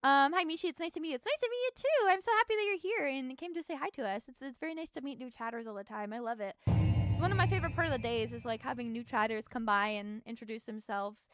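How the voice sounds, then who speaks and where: Bright, high-voiced woman streamer. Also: animated, fast, clear, resonant tone, no echo, clean studio sound, faint music behind. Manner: chatty, greets chatters warmly, thinks aloud while building. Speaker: VTuber streamer. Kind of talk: casual livestream chat while building in a game.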